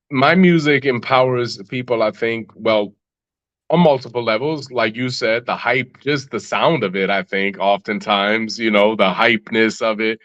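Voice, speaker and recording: A man's voice: mid-range voice, a bit bright, dry recording, highs cut off. A man, a musician guest on a podcast, answering reflectively with many fillers and restarts.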